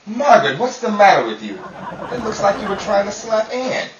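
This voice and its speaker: An actor playing a grumpy, unromantic homebody husband in old-time radio style, with a blustery, exasperated manner. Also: male voice, high voice, big pitch swings, normal speed. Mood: flustered. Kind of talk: old-time radio comedy-drama acting. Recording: echoey, dull, distant, faintly noisy amateur recording.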